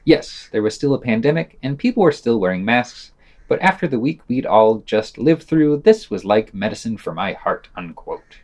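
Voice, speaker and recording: A man's voice: medium pitch, big pitch swings, moderate pace, darkish tone. A man podcast host reading a scripted news roundup with quoted article excerpts.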